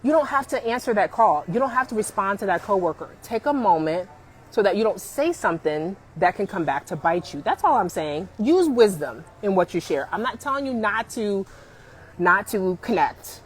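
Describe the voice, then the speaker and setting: A woman in her forties giving career advice on a live stream, emphatic and animated, often calling out to viewers and laughing. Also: mid-range voice, moderate pace, a little hiss or hum. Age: 40s.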